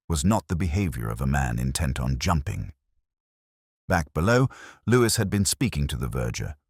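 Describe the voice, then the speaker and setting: Weary detective narrator, played by a man: very deep, expressive delivery, dry close sound, measured and wry, audio drama. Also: no echo, heavily acted. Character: world-weary middle-aged male detective narrating. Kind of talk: first-person scripted audio drama narration.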